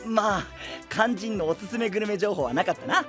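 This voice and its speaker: A man voicing a cheerful, carefree young traveler with a boisterous, naively confident manner in an animated audio drama. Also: high-pitched, fairly quick, music in the background, lightly acted. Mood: upbeat, carefree. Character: cheerful, food-loving, carefree young male traveling companion. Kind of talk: animated Japanese voice drama acting.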